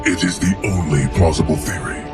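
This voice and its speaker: A man's voice: deep voice, brisk, lightly acted. A man playing an impassive immortal keeper of time in a video game, speaking in terse, formal statements. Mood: detached, grave. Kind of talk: cinematic video game acting. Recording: loud music behind the voice.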